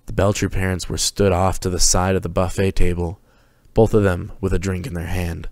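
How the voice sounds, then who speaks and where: A man with a low voice reads a story aloud as its narrator. The delivery is a dramatic reading, recorded close with very little room sound. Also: flat delivery, brisk, a little mellow, no echo. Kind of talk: dramatic reading of prose fiction.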